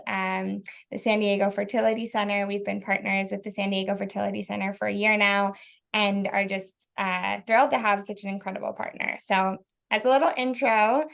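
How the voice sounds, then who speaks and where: Woman host with creaky voice. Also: mid-range voice. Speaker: interview host, company representative. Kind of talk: conversational interview hosting.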